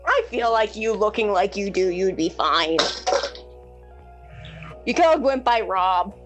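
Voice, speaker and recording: A woman's voice: mid-range voice, expressive, measured pace, lo-fi sound. A woman playing in a tabletop roleplaying game, bantering casually in character with a giggly, teasing manner. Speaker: player in a tabletop roleplaying podcast. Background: music.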